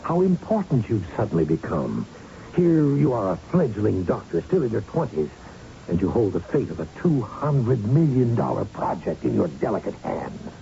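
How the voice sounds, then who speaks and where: A condescending male boss, smooth in manner and scheming. His voice is gravelly and swoops expressively as he patronizes. It is heard on a muffled vintage radio drama recording. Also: on the low side, very animated, warm tone. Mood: sly, taunting. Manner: urbane, patronizing, deliberate. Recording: muffled, faintly noisy old radio recording.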